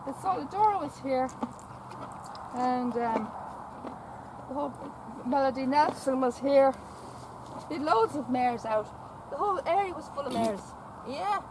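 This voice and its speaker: High-voiced woman coaxing a horse. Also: lively intonation, a little slow, long pauses. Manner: repeats phrases, stammers on words; coaxing baby talk to the mare. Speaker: vlogger visiting a stud farm. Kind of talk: casual talk to a horse and companion. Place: outdoor paddock at a stud farm. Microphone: camera held by companion. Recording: outdoor wind and farm noise.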